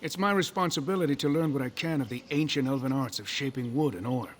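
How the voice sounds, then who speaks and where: An actor playing a proud, fussy elven master craftsman in a video game, speaking formally and with measured scolding. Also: mid-range voice, a little hiss or hum, lightly acted. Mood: courteous, grave. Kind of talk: video game character dialogue.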